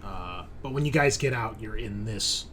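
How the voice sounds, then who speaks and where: Low-voiced man over clear background noise. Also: moderate pace, a bit bright. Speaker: game master. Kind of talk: narrating a tabletop role-playing game, conversational.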